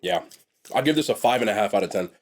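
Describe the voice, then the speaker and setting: Young man, lowish voice, congested, sniffly, nasal, blunt chatty food reviewer. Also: lively intonation, fast talker. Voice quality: nasal from a blocked nose. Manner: blunt and chatty, trails off and restarts. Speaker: food vlogger. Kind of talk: casual mukbang food review.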